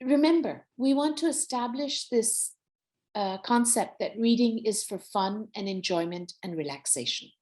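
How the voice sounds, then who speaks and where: A woman's voice: on the high side, expressive, measured pace, darkish tone. A woman with a Nepali accent giving an educational talk in an instructive, encouraging and emphatic way. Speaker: workshop presenter.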